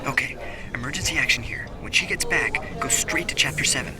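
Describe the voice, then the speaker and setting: A man's voice: medium pitch, fairly quick, over loud music, lightly acted. An actor playing a covert, urgent dating coach in a radio drama, prompting a man in secret.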